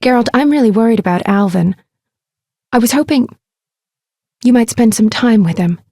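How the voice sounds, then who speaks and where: An actress playing a warm, witty young female medic in scripted video game dialogue, speaking in a conversational, lightly teasing way. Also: on the high side, animated, moderate pace, no echo, studio quality. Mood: concerned. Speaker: video game character voice actor.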